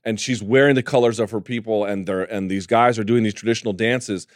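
A man hosting a casual talk show about mixed martial arts, with an animated, emphatic way of talking. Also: on the low side, fairly quick, no room sound, studio quality. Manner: animated, emphatic, calls co-host dude. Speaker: podcast host.

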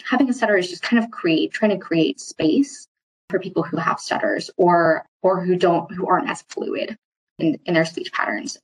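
A woman's voice: fairly low pitch, breathy edge, low-quality recording. A woman speaking as an interview guest, thoughtful and hesitant, with a mild, mostly hidden stutter that shows in occasional repeated starts. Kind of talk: reflective workplace interview.

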